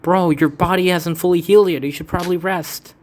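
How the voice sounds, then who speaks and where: A man's voice: high-pitched, steady tone, fairly quick. A man reading a fan game aloud, voicing its characters with casual asides and stumbles. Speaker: let's play fan dubber. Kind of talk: casual game playthrough with voiced dialogue.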